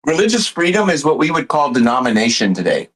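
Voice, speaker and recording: A man in a casual group conversation. He states his points assertively, in a lecturing tone. His voice sits at an ordinary pitch. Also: very animated, fast talker, fairly bright tone.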